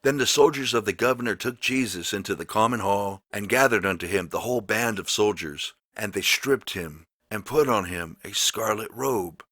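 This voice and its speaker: A man narrating the Bible aloud in a dramatic, solemn and emphatic style, with deliberate phrasing. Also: lowish voice, a bit bright, dry recording, clean studio sound. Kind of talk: dramatic scripture read-aloud of the King James Bible.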